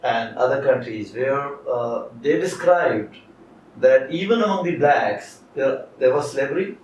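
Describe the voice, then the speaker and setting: A man interviewing a guest, asking halting questions filled with hesitations. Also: mid-range voice, lively intonation, a little mellow, lo-fi sound, slight background noise. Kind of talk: conversational interview. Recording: echoey room, mic at a distance.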